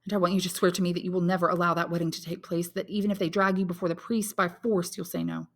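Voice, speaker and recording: A woman's voice: on the low side, little pitch movement, fast talker. A woman voicing an intense, honorable, lovelorn young man in a dramatic audiobook, speaking earnestly and solemnly. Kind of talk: dramatic audiobook character reading.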